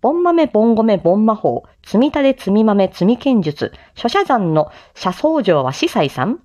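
A woman reciting a theatrical Japanese-style sales-pitch monologue in a declamatory, sing-song way with playful touches. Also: very animated, normal speed, darkish tone. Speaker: amateur voice drama performer, streamer. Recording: slightly dull lo-fi microphone sound.

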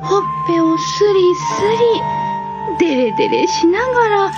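Woman doing a cute dreamy storyteller girl, super high voice, slow theatrical delivery, bit dull audio w music. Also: lively intonation. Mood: playful, puzzled. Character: young woman storyteller fond of fox tales, dreamy and cute. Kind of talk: audio drama promo narration.

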